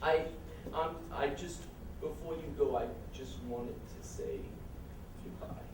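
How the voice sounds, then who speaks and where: An actor playing a shy, neurotic, smitten accountant in a comedic stage play, hesitant and earnest. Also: male voice, fairly high pitch, slow. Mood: wistful. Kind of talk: comedic one-act stage play acting. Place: live stage performance in a college room. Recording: echoey, distant, noisy theater recording.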